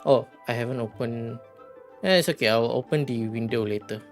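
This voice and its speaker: A game livestreamer chatting casually, rambling and halting while thinking aloud. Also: medium pitch, soft background music. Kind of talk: casual gaming stream chat.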